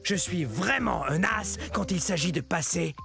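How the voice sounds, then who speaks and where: Slow, creaky, proud little dinosaur. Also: male voice, sits high, animated, music in the background, heavily acted. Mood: proud, confiding. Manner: dramatic, confiding, self-important. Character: proud, secretive little carnivorous hunting dinosaur. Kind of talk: animated children's educational cartoon monologue.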